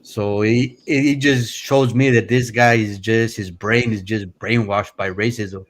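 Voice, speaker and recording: Laid-back Mexican-born man, low voice. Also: unhurried. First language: Spanish. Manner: relaxed, rambling, blunt with profanity. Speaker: caller on a live stream. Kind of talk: casual opinionated chat.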